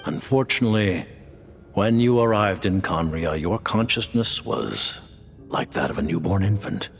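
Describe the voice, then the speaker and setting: Deep, gravelly ancient mastermind. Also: male voice. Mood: aloof, reflective. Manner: measured, formal, imperious. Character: grave, ancient male mastermind, formal and aloof. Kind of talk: video game cutscene acting. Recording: background music.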